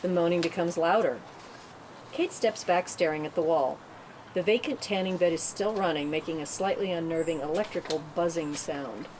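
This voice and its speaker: A woman's voice: fairly low pitch, normal speed, darkish tone. A woman reading stage directions as narrator at a script table read, in a matter-of-fact way. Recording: clearly noisy room recording.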